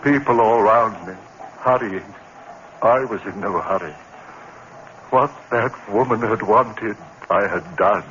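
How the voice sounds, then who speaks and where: A man's voice: lowish voice, a bit bright. An actor playing a tormented man haunted by voices and sliding into madness, in theatrical, anguished 1950s horror radio drama style. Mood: numb, bleak. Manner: theatrical, anguished, halting delivery. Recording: muffled, noisy vintage 1950s radio recording.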